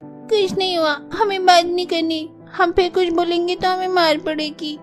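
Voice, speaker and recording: A woman's voice: very high voice, steady tone, normal speed. A woman voicing a proud, sulky little schoolboy from a poor family in a cartoon. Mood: sulky, hurt. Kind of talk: cartoon dialogue acting. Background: background music.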